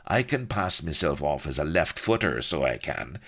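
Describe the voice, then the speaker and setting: A man's voice: very deep voice, very animated, normal speed, a bit bright, breathy edge, exaggerated voice. A man voicing a roguish, smirking Irish adventurer with a wry, wheedling manner in a comic story. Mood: sardonic scheming.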